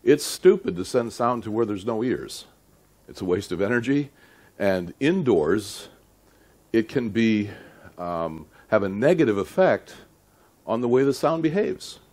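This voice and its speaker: A middle-aged man lecturing at a seminar in a deliberate, emphatic but conversational way, often checking in with his listeners. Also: on the low side, animated, a little mellow. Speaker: audio engineering lecturer.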